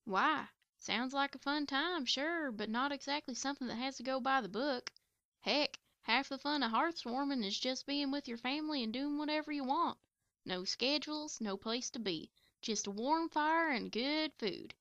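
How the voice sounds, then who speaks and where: A woman's voice: high-pitched, normal speed, a bit bright, dry recording. A woman playing an easygoing, plainspoken young farm pony with rustic phrasing in an amateur audio drama, on a lo-fi microphone. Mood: relaxed.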